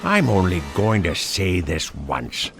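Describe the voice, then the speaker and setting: A man playing a weary, commanding bulldog pirate captain in an audio drama, with a gruff theatrical delivery. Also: low voice, wildly swooping pitch, takes it slowly, loud music behind the voice, exaggerated voice. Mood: grave.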